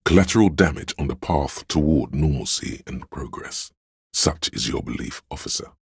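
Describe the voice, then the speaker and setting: Super deep raspy guy doing grave, measured story narration for a game, lots of gravel. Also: expressive, no echo, clean studio sound. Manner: measured, grave, literary delivery. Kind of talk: dramatic scripted game narration.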